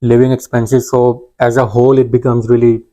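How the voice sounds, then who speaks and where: A man's voice: medium pitch, level tone, moderate pace, dark tone. A man hosting an interview, polite, with frequent murmured backchannels. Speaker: host.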